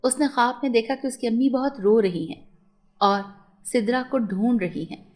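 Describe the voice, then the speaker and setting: A woman's voice: on the high side, normal speed, darkish tone. A woman narrating a scripted moral story for children as a storyteller.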